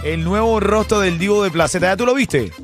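A male morning radio host with a slightly high voice. He banters in a slangy, playful way, talking casually over soft background music. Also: brisk. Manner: informal, slangy and jokey.

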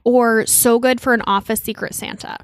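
Young woman podcast host rambling casually, kinda high voice, dry close mic. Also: steady tone, moderate pace, dry recording. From Connecticut, United States. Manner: chatty, rambling with frequent "like". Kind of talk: casual chat.

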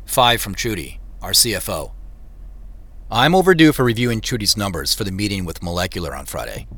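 An actor playing a thoughtful, nervous man, narrating an audio drama in the first person in a measured, intimate way. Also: on the low side, big pitch swings, fairly bright tone, dry recording, exaggerated voice. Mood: pensive, uneasy. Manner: measured, reflective, intimate storytelling. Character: Joseph, thoughtful, nervous man retracing family memories. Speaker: narrator and lead character. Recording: music under the voice.

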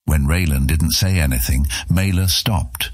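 An extremely deep male narrator with a husky grain, reading scripted fantasy fiction. The recording is dry and close, like a studio audiobook. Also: unhurried, no room sound.

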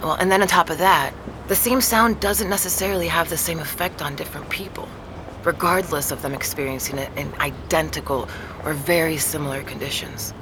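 An actress playing a calm woman neurologist who explains things patiently and weighs the evidence, in an audio drama. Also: low voice, brisk, background noise, natural voice. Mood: explaining.

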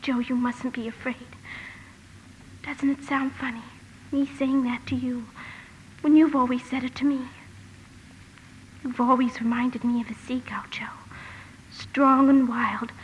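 An actress playing a frail, gentle, sickly young sister in a 1950s radio drama, her voice soft and breathy. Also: a little high. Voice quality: soft, breathy, half-whispered. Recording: reverberant, slightly noisy old radio broadcast.

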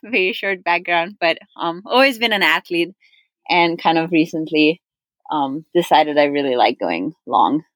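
A woman's voice: low-pitched, measured pace, no echo. A young woman from Slovenia, a guest athlete, talking casually in an interview with plenty of ums. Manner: relaxed, many ums, friendly.

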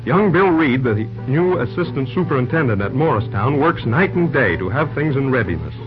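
Male narrator, very animated intonation, dramatic vintage radio style, music behind, dull recording. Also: mid-range voice, brisk. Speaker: announcer, narrator. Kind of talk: dramatic scripted old-time radio narration.

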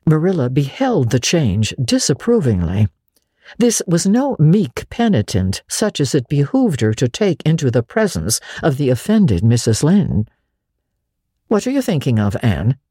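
A woman's voice: deep voice, very animated, a little slow, dark tone, breathy edge, no room sound, clean studio sound. A woman narrating classic fiction as a dramatic audiobook reading.

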